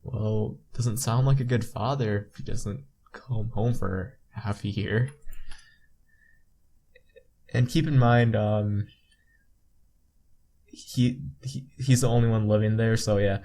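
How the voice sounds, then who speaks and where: A man doing a game playthrough, reading visual novel text aloud haltingly and adding his own asides. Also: on the low side, steady tone, mellow. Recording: game music behind the voice. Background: game music.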